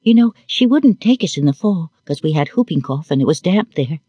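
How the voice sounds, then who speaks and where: Man voicing an eager, bossy little girl, pitched higher. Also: lively intonation, a little fast, mellow, breathy edge, no room sound, dull audio.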